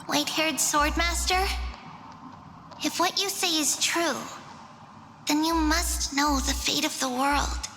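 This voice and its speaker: A small, earnest girl prophet in a fantasy game. The voice is high and bright, and she takes her time, speaking with careful, pleading sincerity. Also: fairly even intonation, takes it slowly, pauses often, long reverb tail, slight background noise, full character voice. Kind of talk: dramatic game dialogue.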